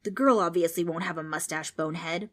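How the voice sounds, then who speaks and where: A woman reading aloud, voicing a loud, scornful male ghost who mocks children. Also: lowish voice, a little fast, natural voice. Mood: mocking. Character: bossy male ghost, gruff and mocking.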